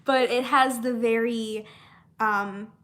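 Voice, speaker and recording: High-voiced young woman, slow rambling chat. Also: steady tone, fairly bright tone. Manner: halting, rambling, with trailing thoughts and repeated words. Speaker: voice actor and comic dub creator chatting with viewers. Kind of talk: casual unscripted discussion.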